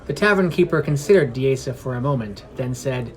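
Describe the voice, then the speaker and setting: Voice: medium pitch, animated, brisk, low-quality recording. A podcast narrator reading a scripted fantasy story. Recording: music under the voice. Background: background music.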